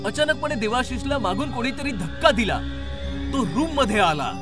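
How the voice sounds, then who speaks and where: A man's voice: very high voice, big pitch swings, moderate pace, music in the background. A man narrating a scripted horror story in a dramatic storytelling manner.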